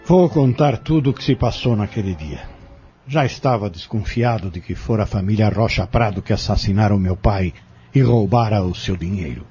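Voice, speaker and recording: A man's voice: lowish voice, moderate pace, dark tone, natural voice. A man playing a haughty accused man on trial in an audio drama, speaking formally and with indignation. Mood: resigned confessing. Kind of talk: audio drama acting, courtroom scene. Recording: dull lo-fi audio with music underneath.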